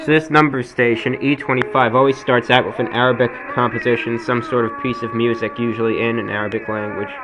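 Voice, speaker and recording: Guy hosting a radio type show, mid pitch voice, informal but announcer-ish and emphatic. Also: flat delivery, a little fast, few pauses, over music, low-quality recording. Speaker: show host.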